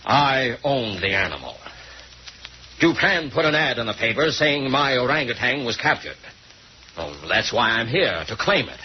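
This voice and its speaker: An actor playing a guilt-ridden, pleading sailor in an old-time radio melodrama, agitated and halting. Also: male voice, medium pitch, very animated, natural voice. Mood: confessing. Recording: muffled, noisy old radio recording.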